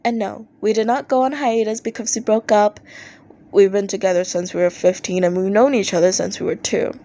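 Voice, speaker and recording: A woman reading fan fiction aloud as an expressive amateur audiobook narrator, acting out posts in an animated way. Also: medium pitch, a little fast.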